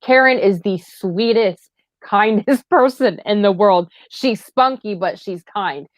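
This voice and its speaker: A woman's voice: mid-range voice, slow, no room sound. A woman host in casual conversation who backs her guest with frequent affirmations and speaks indignantly about the subject. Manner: agreeable, supportive, frequent affirmations. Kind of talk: casual chat on a livestream.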